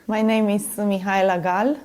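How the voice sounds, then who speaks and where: A woman with a Romanian accent sharing her testimony, gentle and pausing. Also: mid-range voice, flat delivery. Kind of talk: personal testimony.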